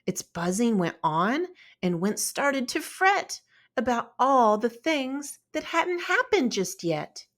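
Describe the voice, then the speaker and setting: Slow, very animated woman storybook reader. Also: fairly high pitch. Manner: deliberate, expressive. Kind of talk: expressive children's read-aloud narration.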